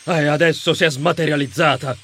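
A man's voice: a little high, fairly even intonation, fairly quick, bright, forceful tone. A man voicing a boisterous, cheerfully bumbling muscular hero in a storybook, with casual, exclamatory, teasing delivery. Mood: sheepish. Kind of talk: audiobook character acting.

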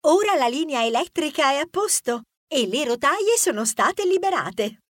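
A woman's voice: high-pitched, big pitch swings, normal speed, no room sound, clean studio sound. A woman voicing a gushing, lovestruck young female rodent train conductor in a children's audiobook, effusive and easily flustered. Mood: cheerful, eager. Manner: effusive, flustered, swooning, repetitive apologies. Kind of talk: children's audiobook character acting.

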